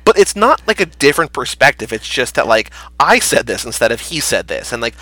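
A man co-hosting a casual book-discussion podcast, talking in an animated, sarcastic way with lots of fillers. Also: medium pitch, a little fast, no room sound, low-quality recording. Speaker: podcast co-host. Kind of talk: casual chat about a book.

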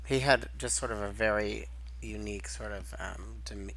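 A man's voice: fairly low pitch, normal speed, gravelly, fairly bright tone, slight background noise, low-quality recording. A man on a casual film podcast, talking hesitantly and filling his speech with "like" and "um". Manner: hesitant, full of "like" and "um". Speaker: podcast co-host.

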